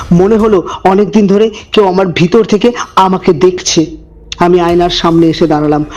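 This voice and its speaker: A man narrating a scripted horror story as host, with deliberate dramatic phrasing and suspenseful pauses. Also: high voice, darkish tone.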